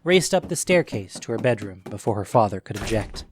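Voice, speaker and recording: A man's voice: lowish voice, big pitch swings, fairly quick. A man narrating an audio drama in a scripted storytelling style. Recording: faint hiss.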